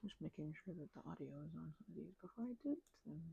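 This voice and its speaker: A woman rambling casually as an ASMR host, mumbling in a low-key, musing way, her voice hushed and often slipping toward a whisper. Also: expressive, rapid-fire, creaky, husky, dry recording, muffled recording. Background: keyboard clicking.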